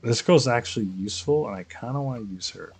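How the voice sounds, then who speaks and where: Casual streamer with very animated delivery. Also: medium pitch, quick pace, breathy edge. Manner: rambling, sweary, jokey asides. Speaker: livestreamer playing a game. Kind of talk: casual livestream chat and game reactions.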